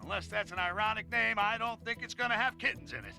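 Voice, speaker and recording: A very high, creaky voice plays a wisecracking video game narrator. He is chatty and theatrical, sardonic and a little cowardly, with faint music behind him. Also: fast talker, bright, forceful tone, heavily acted. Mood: wry. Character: wisecracking, jittery, boastful but cowardly male narrator. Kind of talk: comic scripted narration.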